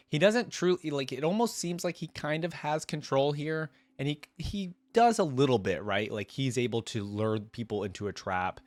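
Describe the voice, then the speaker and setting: A man's voice: mid-range voice, dry recording. A man hosting a reaction video, reading a story aloud and adding casual asides.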